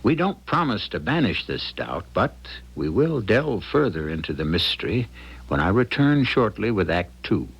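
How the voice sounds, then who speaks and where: Very deep-voiced American mystery host, muffled broadcast. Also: male voice, big pitch swings, husky. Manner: measured, philosophical, wry. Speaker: radio drama host. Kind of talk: scripted mystery narration, theatrical storytelling. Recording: dull old radio broadcast with music.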